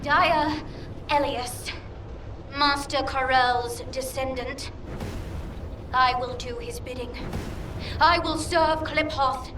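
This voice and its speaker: An entranced young servant of evil, high-voiced and very slow. She declares devotion like a brainwashed game villain, her voice echoing from a distance over noise. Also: fairly even intonation. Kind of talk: video game voice acting. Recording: distant, echoey, noisy background.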